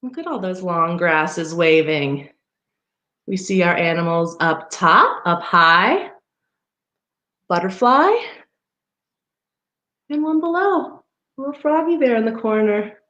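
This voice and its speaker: A woman reads a picture book aloud for children in an echoey room. Her delivery is unhurried and very animated, swinging up and down in pitch as she speaks directly to her young listeners. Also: highs cut off. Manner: expressive, pausing to point out pictures to young listeners. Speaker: parks foundation outreach coordinator hosting story time. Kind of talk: read-aloud children's story time. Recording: roomy, echoey livestream sound.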